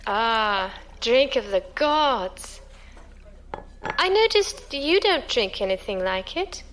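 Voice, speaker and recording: A woman's voice: on the high side, big pitch swings, slow pace, fairly bright tone, slight background noise, heavily acted. A woman playing an inquisitive, uneasy newcomer to a space pirate crew, talking informally in an audio drama. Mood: friendly, anxious. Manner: questioning, informal. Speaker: voice actor. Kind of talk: science fiction audio drama dialogue.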